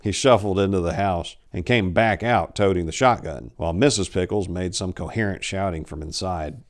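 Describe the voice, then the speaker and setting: A man in his forties giving a theatrical, expressive dramatic reading of a scary short story. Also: low-pitched, moderate pace. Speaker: story reader. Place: indoors, book-lined room. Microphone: lapel.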